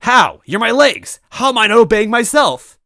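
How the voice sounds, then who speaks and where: Frantic robot villain, played by a male reader: high, screechy, theatrical menace, close dry sound. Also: very animated, normal speed, pushed and bright, no echo, heavily acted. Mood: angry, frustrated. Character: villainous spear-shaped robot, male, shrieking, panicked and menacing.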